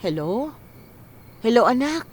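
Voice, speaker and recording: Balisang inang may sakit. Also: female voice, a little high, very animated, takes it slowly, a little hiss or hum. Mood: worried. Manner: hesitant, stammering when worried. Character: anxious, ailing mother in her forties. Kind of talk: Filipino radio drama acting. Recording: background music under the voice.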